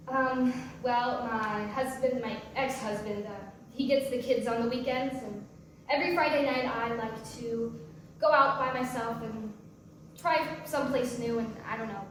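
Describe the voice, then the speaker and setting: A guarded, sardonic young divorced mother, played by a woman in a staged play reading. Her voice sits fairly high and comes out wry and halting. She is heard from far off in a very echoey room. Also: fairly even intonation, close to an everyday voice. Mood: hesitant, regretful. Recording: distant, very echoey room with faint noise.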